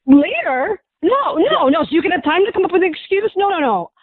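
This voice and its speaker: A woman speaks as a caller on a radio show, in an emotional personal exchange. Her voice is high, and it comes through a narrow, dry telephone line. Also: very animated, a little fast, no room sound. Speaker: caller, wife on a radio prank call. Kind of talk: emotional phone confrontation. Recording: dry, muffled phone line sound.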